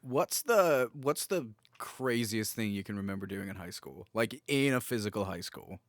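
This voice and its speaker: A man's voice: lowish voice, lively intonation, moderate pace, dry recording. American man bantering, close dry recording. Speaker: podcast co-host. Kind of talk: casual bantering chat.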